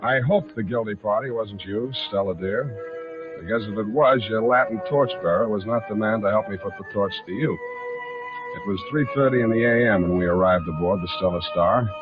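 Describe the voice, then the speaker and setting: A man plays a hard-boiled private eye with a sardonic, deadpan delivery. He narrates like 1940s radio noir on a narrow, aged recording, with music clearly behind him. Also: on the low side, lightly acted. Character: wry hard-boiled male private detective.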